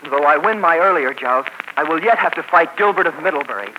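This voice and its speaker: An actor playing an earnest, formal young knight facing a joust, in 1940s radio acting. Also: male voice, fairly high pitch, expressive, quick pace, muffled recording, natural voice. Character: earnest young male knight, brave but unsure. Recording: noisy 1940s radio broadcast.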